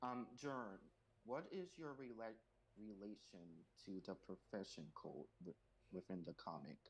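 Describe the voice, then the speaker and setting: Low-voiced man chatting over background noise. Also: a little slow. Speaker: comic creator hosting a Q&A stream. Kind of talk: casual group stream chat. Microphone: voice call microphone.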